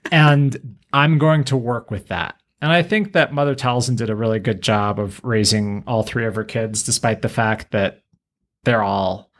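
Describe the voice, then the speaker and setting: A man hosting a podcast, talking casually and enthusiastically as a fan. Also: mid-range voice. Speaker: podcast host.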